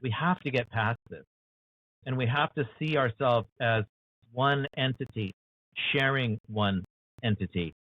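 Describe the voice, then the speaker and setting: A man's voice: medium pitch, unhurried, dry recording. American man on a tinny call line. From United States. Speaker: author guest. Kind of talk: earnest interview discussion. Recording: dry, tinny phone-like call audio.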